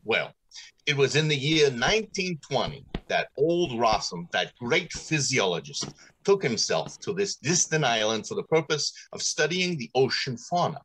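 A man's voice: medium pitch, big pitch swings, normal speed, a bit bright, breathy edge, rough recording. A middle-aged man playing a pompous, courteous factory general manager in old-time radio drama style, theatrical and businesslike. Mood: enthusiastic storytelling. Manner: theatrical, businesslike, hesitating with ums.

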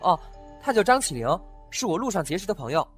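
A man's voice: sits high, big pitch swings, quick pace, soft background music. A man voicing a curious, naive young master in an audio drama who talks eagerly and asks many questions.

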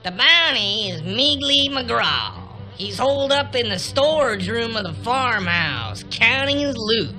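An actress voicing a brash, folksy townsfolk creature in a western video game, colloquial and American. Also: female voice, on the high side, big pitch swings, unhurried, few pauses, pushed and bright, faint music behind, exaggerated voice. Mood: businesslike.

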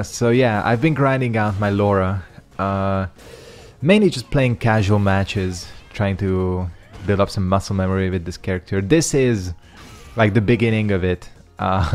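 A man's voice: fairly low pitch, darkish tone. A young man whose first language is Hungarian, casually rambling over his own fighting game matches with loose slang and lots of fillers. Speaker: gaming channel host. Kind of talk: casual commentary over gameplay.

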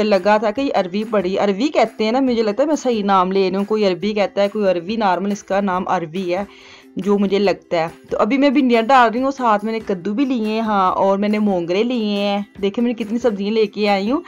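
A woman's voice: medium pitch, brisk, darkish tone, soft background music. A middle-aged woman, rambling homely voice-over for her shopping vlog. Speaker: vlogger. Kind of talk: chatty voice-over narration. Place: home, recorded as voice-over.

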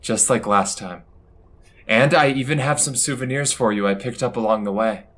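An actor playing an affectionate, easygoing space traveller in a conversational audio drama style. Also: male voice, medium pitch, moderate pace, a bit bright, close to an everyday voice.

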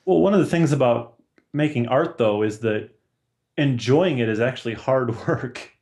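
A man's voice: mid-range voice, animated, moderate pace, darkish tone. A man, talking casually as a podcast guest over a video call. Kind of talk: casual conversational discussion. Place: small room at home or office, on a video call. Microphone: video call with headphones.

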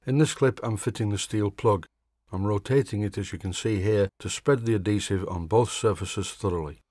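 An older man with a Yorkshire accent, reading a measured workshop voice-over with dry humour. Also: lowish voice, darkish tone, dry recording. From Yorkshire, England. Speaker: hobby machinist presenter.

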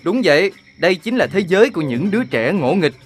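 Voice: mid-range voice, sing-song, fast, breathy edge, soft background music. An actor voicing a grown-up dog in a children's cartoon, emphatic and theatrical in delivery. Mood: grandly cheerful. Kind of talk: animated children's cartoon dubbing.

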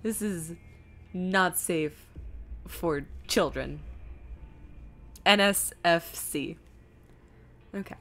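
A woman giving casual live game stream commentary, chatty and thinking out loud as she reads things aloud. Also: lowish voice, extremely slow, pauses often, soft background music.

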